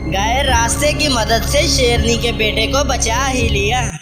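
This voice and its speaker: A narrator telling a children's fable in a steady, scripted way. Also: mid-range voice, measured pace, soft background music. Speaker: storyteller.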